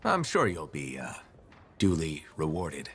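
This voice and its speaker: An actor playing a laid-back freelance mercenary in a video game, with a dry, casual, sarcastic delivery. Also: male voice, very deep voice, wildly swooping pitch, unhurried, a little hiss or hum, full character voice. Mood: wry. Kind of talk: video game dialogue acting. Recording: music behind the voice.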